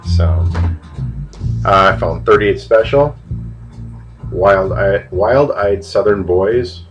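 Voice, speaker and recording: A man with a cold, sounding a bit nasal, chatting casually and haltingly on camera about records he found, with plenty of fillers and restarts. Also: low-pitched, lo-fi sound, faint music behind. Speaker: vinyl record collector showing finds. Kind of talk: casual video vlog.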